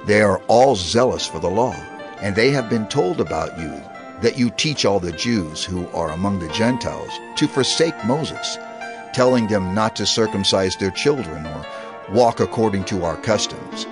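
An actor playing a concerned, authoritative church elder, giving earnest, deliberate instruction in an audio Bible drama. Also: male voice, fairly low pitch, lively intonation, music in the background.

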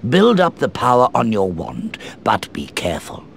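An actor playing an eccentric, eerie old British wand maker in a video game, speaking in a theatrical, courteous, drawn-out way. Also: male voice, lowish voice, huge pitch swings, gravelly, a little hiss or hum, full character voice. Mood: instructive. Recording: game music behind the voice.

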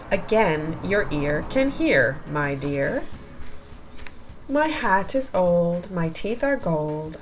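Slow, expressive woman reading to children. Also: lowish voice, rough recording. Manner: expressive, sing-song, deliberate phrasing. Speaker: children's picture book reader. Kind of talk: read-aloud storytelling for young children.

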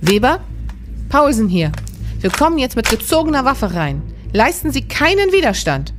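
An actress playing a tough, alert police detective in a German crime radio play, direct and businesslike. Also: female voice, high voice, sing-song, moderate pace. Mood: warning. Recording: music behind the voice.

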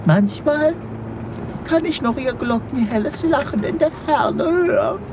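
A woman's voice: high-pitched, big pitch swings, unhurried, noisy background, full character voice. A woman playing a passionate, sentimental chicken creature with rebellious ideals in a comic sci-fi radio play. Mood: wistful. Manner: melodramatic, impassioned.

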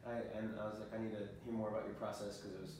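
A young man hosts a relaxed conversation, talking quickly in a slightly low voice with a chatty, self-mocking manner. He sounds far from the camera in a very echoey living room, with faint background noise. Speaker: host. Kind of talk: casual interview chat.

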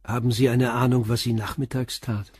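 A male actor plays a radio drama police inspector with a slightly husky voice of medium pitch. He is calm and persistent, putting brief questions methodically, in a very dry recording. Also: a little fast, no echo, close to an everyday voice. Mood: measured, inquisitive. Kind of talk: German radio crime drama acting.

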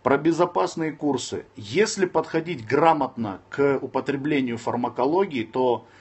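A man's voice: medium pitch, normal speed, breathy edge. A man in his thirties from Dagestan, answering viewers' questions on a casual livestream from his phone, indoors. He is blunt and curses freely. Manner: blunt, curses and snaps at trolls between calm advice. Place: indoors, sitting in a room. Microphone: phone camera close up.

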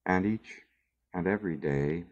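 Really low voiced guy doing guided meditation, super slow n soothing, lots of pauses, kinda muffled lo-fi audio. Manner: measured, soothing delivery with long pauses.

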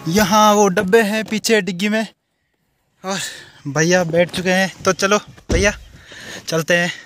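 A man's voice: high-pitched, fairly even intonation, unhurried, fairly bright tone, faint music behind. Young man vlogging from a hill road. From Uttarakhand hills, India. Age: young adult. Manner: chatty, addresses viewers directly. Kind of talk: casual travel vlog narration. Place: outdoors on hill roads and in a car. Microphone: handheld phone camera at arm's length.

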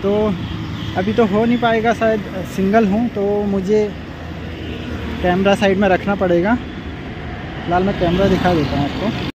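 Brief: young male motovlogger with a raised, light voice, chatty and explanatory, street traffic noise loud under him. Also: high voice, fairly even intonation, a little slow, pauses often. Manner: chatty, explains each step to viewers. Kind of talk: casual vlog talk to camera. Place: outdoors by a city roadside, later riding a motorbike. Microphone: phone camera, then helmet-mounted action camera. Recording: noisy street recording with traffic and wind. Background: traffic and vehicle noise.

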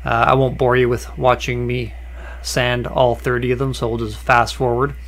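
A man explaining a woodworking project in a relaxed, conversational voice-over, with frequent "uh" fillers and small restarts. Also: mid-range voice, normal speed. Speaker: woodworking channel host. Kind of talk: voice-over narration of a workshop project.

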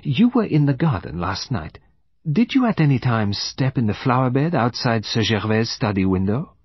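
A man voicing a meticulous, sly older male detective in an audiobook, with formal, deliberate foreign phrasing. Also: medium pitch, big pitch swings, breathy edge, no room sound, muffled recording. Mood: probing, courteous. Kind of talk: audiobook character voice.

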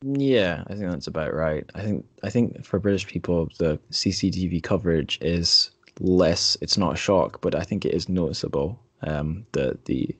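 A man hosting a podcast, discussing books casually in a halting, tentative way. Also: sits low, slightly rough, no room sound. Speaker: podcast host.

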